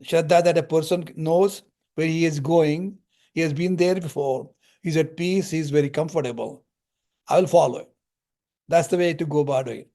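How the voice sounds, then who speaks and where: An older man with a slightly raised pitch speaks in an unhurried teaching tone. He explains spiritual ideas through homely parables and Sanskrit terms, like a lecturer guiding students. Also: warm tone.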